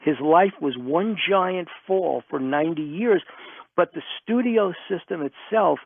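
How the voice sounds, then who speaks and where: A man speaks as an interview guest in a fairly high, slightly creaky voice. He tells stories emphatically, building each point through repetition. The sound is narrow and phone-like, as on a radio call. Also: unhurried. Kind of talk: animated storytelling in an interview. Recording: band-limited radio interview sound, close and dry.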